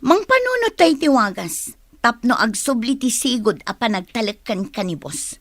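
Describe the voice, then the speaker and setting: Scheming, high-voiced demanding woman. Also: big pitch swings, moderate pace, fairly bright tone, no room sound, studio quality, full character voice. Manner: insistent, conniving. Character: demanding, scheming woman after company money. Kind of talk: radio drama acting.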